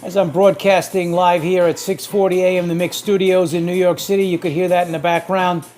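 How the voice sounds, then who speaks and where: A man's voice: sits high, little pitch movement, brisk, a little mellow, soft background music. A man casually narrating a radio band scan as host, announcing frequencies like a DJ.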